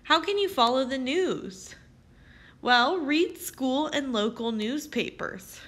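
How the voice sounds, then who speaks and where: A woman reading aloud as a teacher, with expressive, carefully phrased delivery aimed at young children. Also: high-pitched, slow pace. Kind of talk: children's read-aloud narration.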